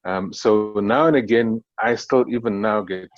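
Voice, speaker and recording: Halting South African man, low voice. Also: no echo, dull audio. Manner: halting, many fillers and restarts. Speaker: panelist. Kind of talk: reflective panel discussion. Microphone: video call.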